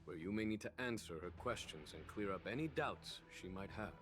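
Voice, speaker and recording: An actor voicing a calm, courteous general in a video game, speaking in a measured, formal way. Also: male voice, on the low side, close to an everyday voice. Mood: solemn, apologetic. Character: calm, dignified male general, courteous and burdened. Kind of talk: scripted video game voice acting. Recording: game music underneath.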